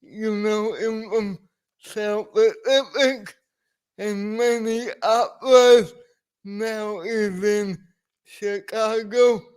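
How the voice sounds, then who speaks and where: A male show host with a high, smooth and clear voice. He speaks very slowly and deliberately as he welcomes a guest and asks questions. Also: steady tone, a little mellow. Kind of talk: interview hosting.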